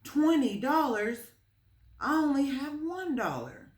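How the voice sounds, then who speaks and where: A woman voicing an earnest, excitable young boy in a children's story, with expressive delivery. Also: sits high, slow pace, voice pushed up, heavily acted. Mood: dismayed. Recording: roomy, echoing space.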